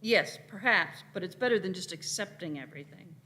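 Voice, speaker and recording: Plucky young female companion, acted by a woman, bright, lively, earnest, for radio drama. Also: on the low side, brisk. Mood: questioning, earnest. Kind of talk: live staged radio play.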